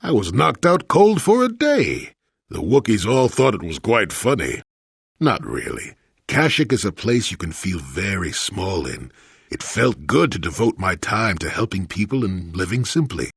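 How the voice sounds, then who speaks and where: Crusty elderly ex-Jedi hermit for a game; husky, animated, wry rambler, recorded close and dry. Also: male voice, lowish voice, unhurried, no room sound, heavily acted. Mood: wry, amused. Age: older. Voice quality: gravelly, husky. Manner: wry, grumbling, rambling storyteller. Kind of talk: video game character dialogue.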